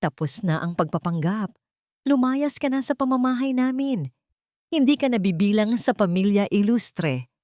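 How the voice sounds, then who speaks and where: A woman's voice: medium pitch, expressive, moderate pace, a little mellow, dry recording, lightly acted. Woman as older rich mother-in-law, cruel, cutting, commanding, story drama, dry sound. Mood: threatening.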